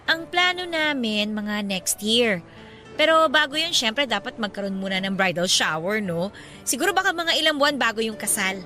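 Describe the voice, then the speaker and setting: Masiglang dalagang ikakasal, matinis ang boses. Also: female voice, on the high side, moderate pace, soft background music. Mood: chatty, curious. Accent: Filipino. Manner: animated, exclaiming, colloquial. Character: chatty, expressive young bride-to-be and close friend. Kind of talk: Filipino radio drama acting.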